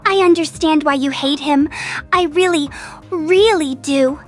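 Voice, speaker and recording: A woman's voice: high voice, a little slow, faint music behind. A woman voicing a sweet, kind little girl rabbit with a polite, pleading cartoon delivery. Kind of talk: cartoon dialogue.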